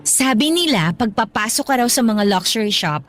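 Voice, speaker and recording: A playful young Filipina girlfriend, acted by a woman for a radio drama, pitched high. She teases, pleads and exclaims over a bed of soft music. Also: animated, moderate pace, lightly acted. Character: bubbly, pleading young girlfriend who craves luxury.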